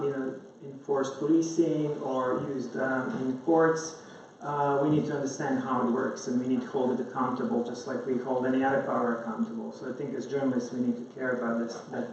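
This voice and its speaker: A man's voice: medium pitch, darkish tone. A man from Croatia gives an informal conference presentation, explaining things in a hesitant, loosely structured way. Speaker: conference speaker, science journalist. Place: indoor conference room. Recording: very echoey room, distant mic, dull, faint noise.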